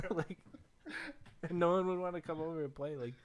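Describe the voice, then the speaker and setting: A young man hosting a casual podcast chat about video games, telling stories in an animated, self-deprecating way. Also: fairly high pitch, moderate pace. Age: young adult. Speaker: podcast host.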